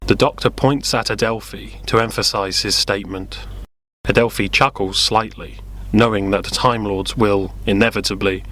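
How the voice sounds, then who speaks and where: A man's voice: on the low side, fairly even intonation, normal speed, fairly bright tone. A man narrating a scripted audio drama, reading out scenes and actions as the narrator.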